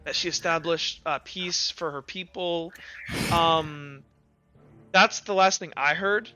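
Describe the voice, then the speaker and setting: A man's voice: fairly high pitch, slow, pushed and bright, smooth. A man casually narrating a tabletop game as game master, chatting informally with his players over a voice call. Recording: slightly dull online voice-call audio. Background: faint music.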